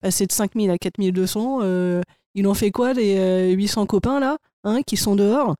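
A woman's voice: mid-range voice, moderate pace, warm tone, no room sound, clean studio sound, pitched below the performer's own voice, natural voice. A woman improvising a resentful office employee who grumbles about the boss in a muttering, sardonic way in a comedy sketch. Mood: sardonic, indignant.

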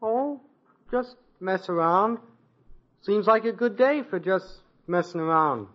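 Teenage sitcom son, high voice, eager, cheeky, slightly dim, muffled 1950s radio sound. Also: male voice, animated, slow. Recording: muffled old radio recording.

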